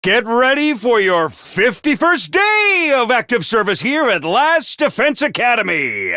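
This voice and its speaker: An actor voicing a chipper, unhinged male academy announcer who gives bubbly announcements and bursts into gleeful shouting. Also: very high-pitched, no echo, studio quality, full character voice. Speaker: game character announcer. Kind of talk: video game voice lines.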